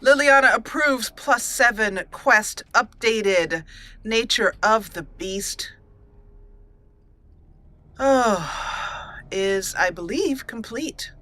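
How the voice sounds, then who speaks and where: A woman giving roleplay let's play commentary as a gaming host, with theatrical, deliberate phrasing. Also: fairly high pitch, lively intonation, pushed and bright.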